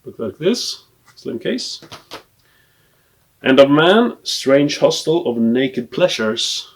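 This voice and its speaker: Halting Swedish-accented man, casual collector. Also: medium pitch, very animated. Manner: hesitant, halting delivery with trailing asides. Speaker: film collector presenting a collection. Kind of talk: casual unscripted show-and-tell.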